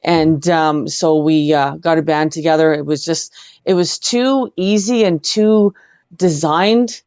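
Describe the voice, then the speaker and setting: A middle-aged woman with a Canadian accent, telling personal stories as an interview guest in an earnest, halting way. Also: deep voice, a little mellow, smooth, no room sound, highs cut off. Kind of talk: personal storytelling in conversation.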